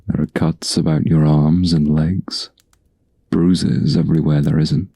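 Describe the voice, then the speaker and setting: A man voicing a gentle, protective huntsman in a soft-spoken, intimate ASMR fantasy roleplay, with slow, soothing, old-fashioned phrasing and a low, gravelly, breathy voice. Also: little pitch movement, no echo, heavily acted. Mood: calm, reassuring.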